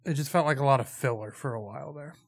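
A man's voice: medium pitch, quick pace, vocal fry, husky. Blunt man teasing on a podcast. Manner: blunt, teasing, crude jokes. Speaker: podcast co-host. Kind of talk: casual movie review chat.

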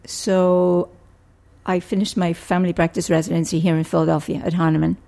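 An older woman from Rico, a family physician answering interview questions in a measured, thoughtful way. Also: lowish voice, little pitch movement, creaky, dark tone. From Puerto Rico. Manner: measured, thoughtful, with frequent restarts.

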